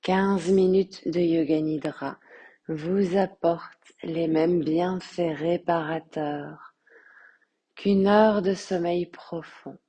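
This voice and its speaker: Woman, yoga teacher, guided relaxation, soft breathy voice, dark mellow tone, very slow, calm, measured pauses. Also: lowish voice. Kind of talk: guided meditation.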